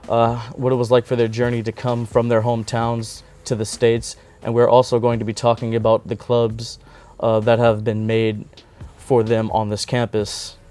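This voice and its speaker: A man's voice: medium pitch, warm tone. Upbeat young man hosting a show. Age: young adult. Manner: upbeat, jokey catchphrases. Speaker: host. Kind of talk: college TV interview hosting. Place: small TV studio set.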